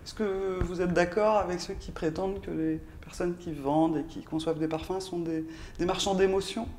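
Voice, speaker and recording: A woman's voice: on the low side, fairly even intonation, dark tone, slight background noise. A woman hosting an interview, asking long questions broken up by fillers and restarts. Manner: halting questions with many fillers. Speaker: interview host.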